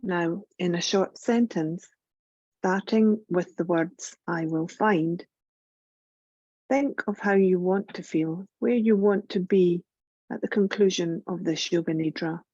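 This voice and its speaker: Very slow, mellow woman guiding meditation. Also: fairly low pitch, no room sound, highs cut off. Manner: gentle, measured, soothing, with long pauses. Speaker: yoga nidra meditation guide. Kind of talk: guided relaxation meditation.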